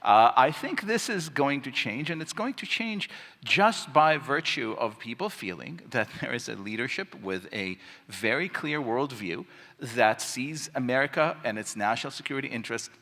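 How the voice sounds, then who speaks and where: A man giving opinionated panel commentary in a wry, sardonic way, halting and repeating words as he builds his point. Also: mid-range voice, lively intonation, pushed and bright. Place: large conference hall, amplified stage. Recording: echoey hall, long reverb.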